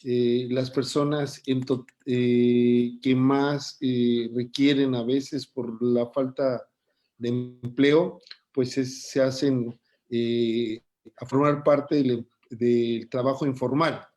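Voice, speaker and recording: A man who hosts and moderates an online lecture, speaking in a measured, deliberate way. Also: medium pitch, flat delivery, darkish tone. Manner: measured, deliberate, pauses with fillers. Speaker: host and moderator.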